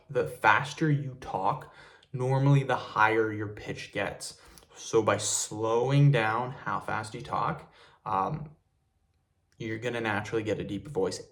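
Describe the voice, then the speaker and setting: Young man giving a casual tutorial. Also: fairly low pitch. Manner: relaxed, repetitive, addresses viewers as "you guys". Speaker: fitness video host. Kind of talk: casual tutorial talk to camera.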